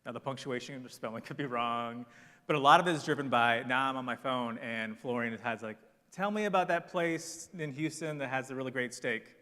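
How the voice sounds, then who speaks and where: Guy on a conference panel, confident and pitchy, sharp bright voice, echoey hall. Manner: brisk, confident, salesy advice. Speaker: panel speaker. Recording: long hall reverb.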